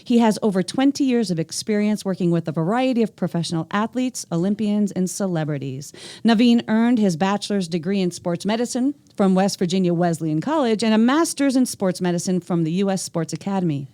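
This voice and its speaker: A woman's voice: mid-range voice, lively intonation, brisk, mellow, no room sound. A woman co-hosting a podcast, chatting casually and reading out the guest's introduction. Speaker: podcast co-host.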